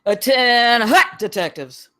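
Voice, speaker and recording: A woman's voice: a little high, expressive, slow, a bit bright. A woman at a table read playing a stern security captain in her forties. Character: stern forty-seven-year-old female security captain. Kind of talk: scripted film table read.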